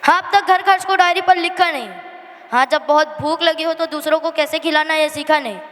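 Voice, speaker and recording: Female monologue performer, high-pitched, emotional and plaintive stage recitation, long hall reverberation. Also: steady tone, a bit bright. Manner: emotional, plaintive, emphatic delivery with drawn-out refrains. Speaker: monologue performer at a school annual day. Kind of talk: dramatic Hindi poetic monologue recitation. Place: school annual day stage in a hall.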